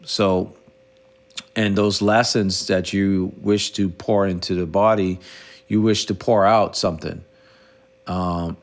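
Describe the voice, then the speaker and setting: A middle-aged man from the Bahamas speaks in a low, gravelly voice. He hosts a solo podcast and reflects earnestly, often stopping and restarting his sentences. His voice has a rough edge of vocal fry throughout. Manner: earnest, halting with frequent restarts and fillers. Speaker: podcast host.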